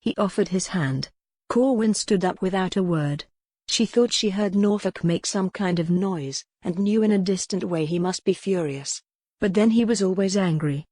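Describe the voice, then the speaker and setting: A woman's voice: medium pitch, moderate pace, warm tone, no room sound, clean studio sound. A woman reading scripted narration from a historical romance novel as an audiobook narrator.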